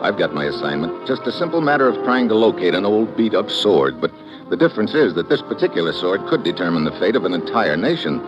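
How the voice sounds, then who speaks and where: A man playing a wisecracking, hard-boiled government agent who narrates his own case in an old radio drama. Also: low voice. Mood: wry. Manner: wry, sardonic, hard-boiled. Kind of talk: 1950s radio drama acting. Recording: dull old radio recording with music.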